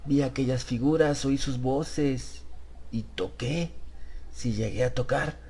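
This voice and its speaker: A man voicing a shaken, bewildered traveller in a horror audiobook, stammering and trembling through halting questions. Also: mid-range voice, mellow, slightly rough, pitched above the performer's own voice. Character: shaken, bewildered English traveller, a merchant in terror.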